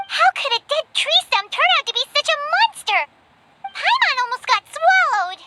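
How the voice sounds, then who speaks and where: A woman voicing a small, childlike, excitable fairy companion in a video game, cute and exaggerated. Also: very high-pitched, moderate pace, a little hiss or hum, exaggerated voice. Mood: curious, startled.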